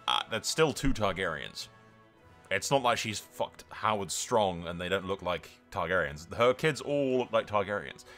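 Bright-voiced man doing casual gaming commentary. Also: on the low side. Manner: informal, sweary, tongue-in-cheek. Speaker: gaming video host. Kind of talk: casual playthrough commentary with story roleplay. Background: background music.

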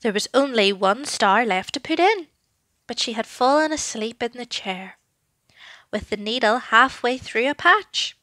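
Unhurried woman storyteller, higher-pitched voice. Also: lively intonation, dry recording. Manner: deliberate, dramatic delivery with hushed passages. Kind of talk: expressive children's story narration.

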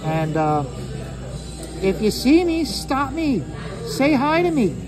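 Man, high voice, rambling casual artist chat, halting and repetitive, music behind. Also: very animated. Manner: hesitant, halting, repeats phrases. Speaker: artist hosting a drawing livestream or video. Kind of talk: casual rambling talk while drawing.

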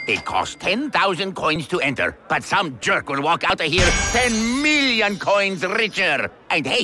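A boastful, greedy cartoon troublemaker from a video game. The voice is high and swoops about, with a slow, exaggerated delivery. He is brash and bossy, gloating at everyone. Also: sharp tone, full character voice. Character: greedy, boastful, cackling middle-aged male game villain. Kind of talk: animated video game character acting.

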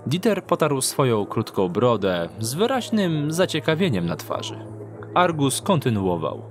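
A man's voice: mid-range voice, sing-song, a little slow. A man reading scripted fantasy story narration as the narrator of an audio drama. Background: music.

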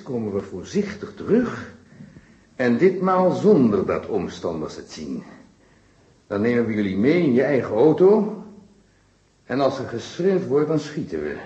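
An actor playing a corrupt, gloating mayor turned kidnapper in an old-fashioned Dutch radio drama, taunting and threatening theatrically. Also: male voice, medium pitch, warm tone, lo-fi sound. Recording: roomy, echoing radio play recording.

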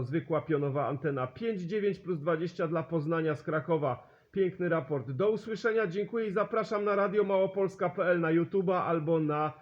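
A man's voice: sits high, dull audio. A sociable man hosting amateur radio contacts, chatting and repeating call signs and sign-offs, on a radio recording. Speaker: CB radio operator and channel host. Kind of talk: amateur radio contact chat, exchanging signal reports.

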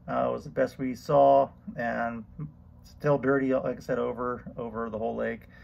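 A middle-aged man giving a casual fishing report, relaxed and rambling with many ums and uhs, recorded on a camera at arm's length. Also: medium pitch, moderate pace, creaky, lo-fi sound. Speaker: fishing vlogger. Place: outdoors on a boat on a lake. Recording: loud outdoor boat and wind noise. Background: boat motor and water noise.

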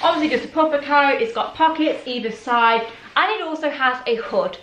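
A young woman chats to her viewers in a bright, lively tone, her voice a touch worn from hours of talking. She sounds a little higher than most women. The bedroom around her leaves a clear echo on every word. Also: moderate pace, slight background noise. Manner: chatty, addresses viewers as guys. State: slightly losing her voice. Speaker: fashion vlogger. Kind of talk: chatty clothing haul. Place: bedroom, seated on a bed.

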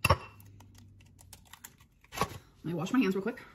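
A woman's voice: medium pitch, lively intonation, takes it slowly, heavy background noise. A woman in her thirties chatting to viewers in a casual cooking and eating vlog, filmed in her home kitchen. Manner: chatty, talking straight to viewers. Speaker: vlogger in the reacted video.

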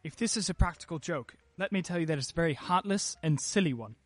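An actor playing a troubled, irritable well-to-do husband in a radio play, clipped and indignant. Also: male voice, a little high, animated, quick pace, no echo, faint music behind.

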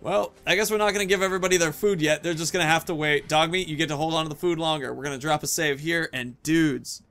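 A man's voice: on the high side, fairly even intonation, fast, a bit bright. A man in his thirties giving casual, rambling commentary as he plays a video game, often thinking aloud and muttering asides. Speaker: video game streamer and host.

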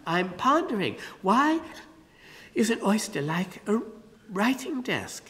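A narrator putting on a bright, earnest storybook girl, clever and proud, pitched well above plain narration. Her words rise and fall widely, under a long hall reverb. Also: very animated, takes it slowly. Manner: earnest, musing.

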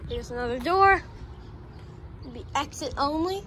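Female urban-explorer vlogger, high voice, dry offhand delivery, phone audio outside with clear traffic noise behind. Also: lively intonation, a little slow, bright, forceful tone. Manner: offhand, dry running commentary. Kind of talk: casual walkthrough narration. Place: outdoors around an abandoned restaurant building. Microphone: handheld phone.

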